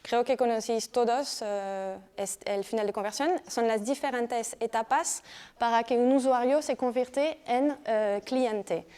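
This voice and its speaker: A woman from France with a French accent, giving a conference talk in a measured, explanatory way, often hesitating and checking in with her audience. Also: a little high, warm tone, clear, resonant tone. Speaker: speaker.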